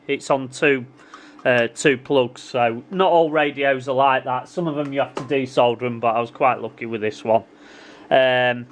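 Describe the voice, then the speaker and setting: A man's voice: on the high side, clear, resonant tone. A man giving a casual hands-on repair tutorial, informally addressing viewers and thinking aloud as he works. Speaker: repair hobbyist presenting his own video.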